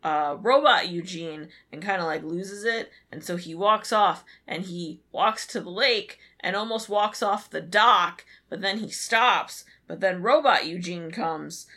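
A woman co-hosting a show, casually recapping a story in an animated way and acting out bits of dialogue. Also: on the low side, measured pace, hardly any pauses. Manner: animated, acts out characters' lines in retelling. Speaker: podcast co-host. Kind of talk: casual episode recap chat.

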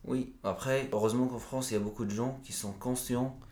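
A man's voice: medium pitch, steady tone, a little fast, mellow, roomy sound. A man talking as a podcast guest, earnest and reflective, explaining his views at length in a conversational interview.

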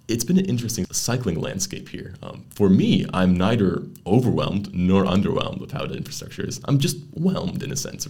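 Gravelly fast-talking man hosting. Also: low voice, a little mellow. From Netherlands. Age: young adult. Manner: chatty, playful asides and quips. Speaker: podcast host. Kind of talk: casual podcast interview.

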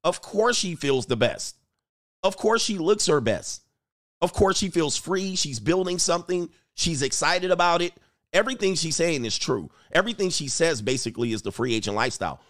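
A man's voice: on the high side, animated, normal speed, a bit bright, no room sound, studio quality. A man giving casual, rambling reaction commentary, joking and trailing off mid-thought. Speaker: YouTube commentator.

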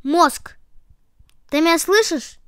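Lady doing a high excited bunny from a kids story, super dry mic. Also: takes it slowly, no echo, full character voice. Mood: eager. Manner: eager, lively questioning. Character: curious excitable male rabbit.

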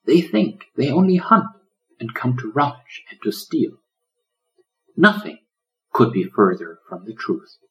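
A man voicing a gentle old lion in a storybook, solemn and loving like a shepherd, with a preaching delivery. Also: mid-range voice, big pitch swings, a little slow, long pauses, low-quality recording. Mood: earnest, reassuring.

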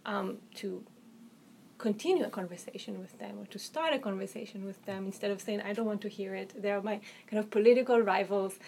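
A woman with an Israeli accent, an author answering interview questions about her research in an articulate, thoughtful way, often pausing with "um" and restarting phrases. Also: mid-range voice, gravelly, darkish tone, a little hiss or hum. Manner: articulate, hedging with um and you know, frequent restarts.

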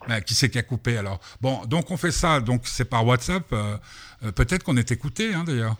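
A man's voice: mid-range voice, animated, a little fast. A man hosting a casual radio interview, teasing his guests and rambling with frequent repetitions. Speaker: radio host.

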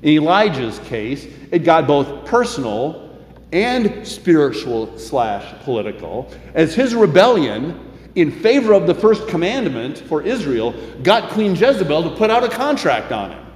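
An older man preaching a sermon in measured tones, slowing down for emphasis, in a church sanctuary. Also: a little high, big pitch swings, darkish tone. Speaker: pastor. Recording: echoey hall, faint room noise.

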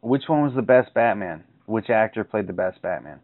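A man's voice: on the low side, animated, normal speed, no room sound. A man hosting a podcast, chatting casually and jokingly with a guest. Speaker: podcast host interviewing a guest. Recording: dull, lo-fi audio.

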